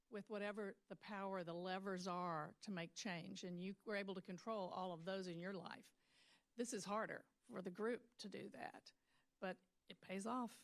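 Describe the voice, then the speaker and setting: A woman's voice: mid-range voice, no echo. A woman facilitating a school board discussion, speaking in a conversational, affirming way and drawing on anecdotes from training board members. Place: school board meeting room.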